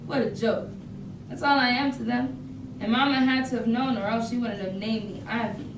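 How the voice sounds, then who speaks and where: A woman's voice: fairly high pitch, steady tone, moderate pace, close to an everyday voice. A woman playing a resentful, rebellious youngest sister in a dramatic monologue at a staged reading. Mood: bitter, fed up. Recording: echoey room, distant mic, noisy.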